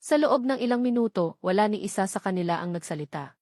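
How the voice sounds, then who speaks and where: A woman's voice: mid-range voice, animated, fast, darkish tone, no room sound, studio quality. A woman with a Filipino accent narrating a scripted romance story as an audiobook.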